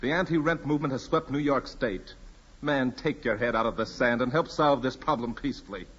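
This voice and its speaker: An actor playing a firm, principled doctor, earnest and urgent, in a 1940s radio drama. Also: male voice, medium pitch, fairly quick, fairly bright tone, slightly rough. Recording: muffled old radio recording, long reverb.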